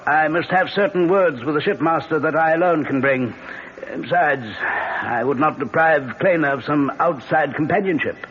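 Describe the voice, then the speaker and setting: Man acting high-pitched, courtly aristocratic lord. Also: moderate pace, lightly acted. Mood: insistent, hospitable. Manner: genteel, effusive, formal. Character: courtly, insistent aristocratic lord, nineteenth-century host. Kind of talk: old-time radio drama acting. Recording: noisy, dull old radio recording.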